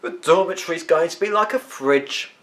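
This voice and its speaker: A man's voice: a little high, moderate pace, fairly bright tone, voice pushed up, natural voice. A man voicing a friendly, dryly droll schoolboy in a children's storybook. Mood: grim. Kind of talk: character voice in children's story reading.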